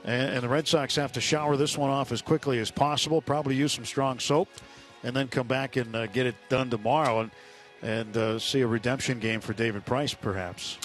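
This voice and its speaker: A man working as a baseball broadcaster, giving measured, conversational analysis full of statistics. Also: mid-range voice, steady tone, soft background music.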